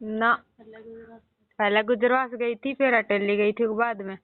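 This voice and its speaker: A woman's voice: a little high, steady tone, measured pace, no room sound. A woman with a Haryanvi accent in an informal domestic argument on a phone call, insistent and questioning. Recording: muffled narrowband phone call recording.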